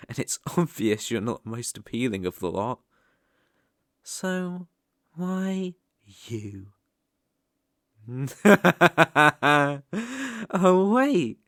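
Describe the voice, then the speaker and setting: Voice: medium pitch, fairly bright tone, no echo, clean studio sound, exaggerated voice. A British-accented performer playing a sadistic, haughty demon in an ASMR roleplay, with a mocking, condescending, theatrical delivery. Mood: disdainful, curious. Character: sadistic, haughty demon lord sneering at human sacrifices.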